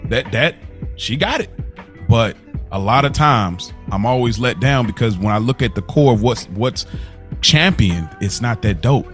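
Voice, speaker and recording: An American man co-hosting a podcast in casual conversation, telling things in an animated, slangy way. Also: mid-range voice, unhurried, soft background music. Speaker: podcast co-host.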